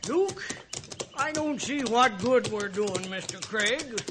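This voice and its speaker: High, drawling cowboy sidekick. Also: male voice, animated, slow pace, music in the background, exaggerated voice. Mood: doubtful. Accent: American Western. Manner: drawling, folksy. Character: folksy, grumbling male cowboy sidekick, simple and loyal. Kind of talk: old-time radio western acting. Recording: noisy vintage radio recording.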